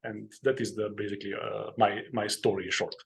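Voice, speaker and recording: Earnest man, halting speech. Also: on the low side, little pitch movement, vocal fry. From Slovenia. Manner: hesitant, many "uh" fillers, plain and earnest. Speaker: guest, author and investing educator. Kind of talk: interview storytelling.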